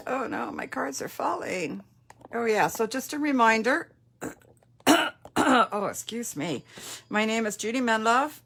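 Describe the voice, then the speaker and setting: A woman's voice: fairly high pitch, a bit bright. Chatty Canadian woman with a cold. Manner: chatty and friendly, with fillers and asides. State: recovering from a cold, slight cough. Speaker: papercraft demonstrator. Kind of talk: casual live-stream chat with viewers.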